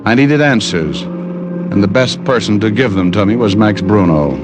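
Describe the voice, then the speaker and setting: An actor playing a wisecracking private detective, narrating in an old radio drama. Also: male voice, fairly low pitch, animated, moderate pace, very noisy, close to an everyday voice. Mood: grim. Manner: dry wisecracking, clipped narration. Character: hard-boiled male private eye, wry. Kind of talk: 1950s radio drama acting.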